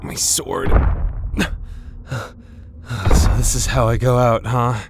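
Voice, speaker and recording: A man playing a timid, soft-hearted young knight in an ASMR fantasy roleplay, stammering nervously and putting himself down. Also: mid-range voice, level tone, extremely slow, very noisy. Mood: panicked, resigned. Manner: stammering, self-deprecating, nervous.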